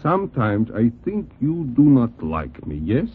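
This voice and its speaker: Husky, proud ex-king boxer. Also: medium pitch, wildly swooping pitch, a little slow, heavily acted. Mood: puzzled. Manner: stilted English, eager questioning. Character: proud, naive foreign ex-king turned heavyweight boxer. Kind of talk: 1940s radio acting. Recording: old radio recording, phone-like band.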